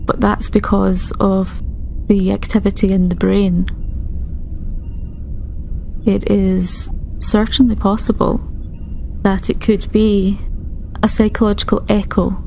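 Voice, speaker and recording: A woman playing a tactful starship doctor in improvised tabletop roleplay, speaking in a measured, hesitant, gently clinical way. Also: mid-range voice, level tone, gravelly, a little hiss or hum, poor audio. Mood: calm, thoughtful. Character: calm, tactful female starship doctor reassuring a patient.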